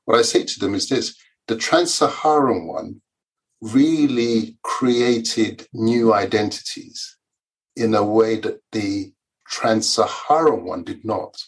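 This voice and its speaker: Husky-voiced Nigerian-born man, measured historian. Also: on the low side, rough recording. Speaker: author and historian, podcast guest. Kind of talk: conversational explanation.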